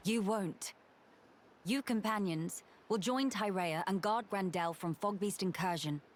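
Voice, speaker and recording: A woman playing a composed young crown princess in a video game, speaking with formal, measured dignity. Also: medium pitch, slight background noise, natural voice. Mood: firm, resolute. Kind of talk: video game cutscene acting.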